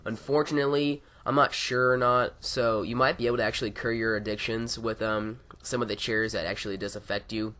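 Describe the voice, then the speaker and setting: A man with a raspy, hoarse voice from being sick, casually reviewing game mods with a rambling, filler-heavy delivery. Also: mid-range voice, little pitch movement, a little fast, few pauses, pushed and bright. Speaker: gaming YouTuber. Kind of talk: casual mod review over gameplay.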